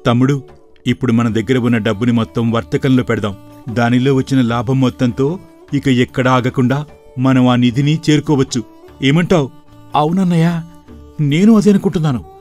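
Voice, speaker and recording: A man voicing an earnest, excitable young village brother in an animated moral story, with emphatic delivery. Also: medium pitch, normal speed, pauses often, natural voice. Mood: eager, earnest. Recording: voice over background music.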